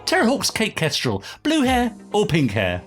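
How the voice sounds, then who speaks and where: A man's voice: high voice, huge pitch swings, normal speed, a bit bright, faint music behind. A man co-hosting a podcast with casual banter and questions. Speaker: podcast co-host.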